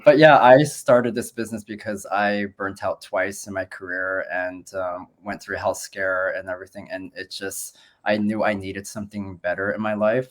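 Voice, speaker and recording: A man in his forties from the Philippines, a nurse and business owner, talking in a friendly, conversational way on a group video call, with many ums. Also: fairly low pitch.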